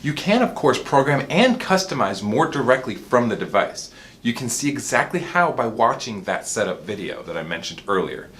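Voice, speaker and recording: A man's voice: medium pitch, very animated, moderate pace, a bit bright. A man giving an informal, explanatory product demonstration as the presenter of a review.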